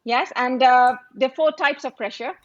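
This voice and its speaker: A woman in her forties from the United Kingdom, a business coach talking on a video call from her phone, speaking haltingly and repeating phrases. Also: sits high. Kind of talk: casual chat on a video call. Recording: muffled phone audio.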